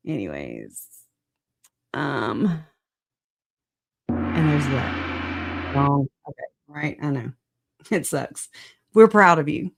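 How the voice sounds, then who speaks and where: A livestream host with a raspy voice, hoarse after a recent illness, chatting casually and laughing a lot at themselves. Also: mid-range voice, very animated, extremely slow, pauses often. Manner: laughs often, self-deprecating, chatty. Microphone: computer mic on streaming software.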